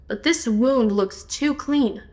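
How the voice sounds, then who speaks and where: A woman playing a brisk, level-headed, matter-of-fact amateur investigator in a comedy audio drama. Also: sits high, a little mellow. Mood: focused.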